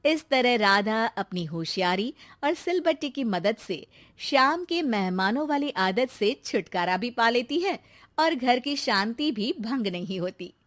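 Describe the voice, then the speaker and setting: A woman's voice: a little high, expressive, measured pace, fairly bright tone. A woman narrating a scripted moral story for children as its storyteller.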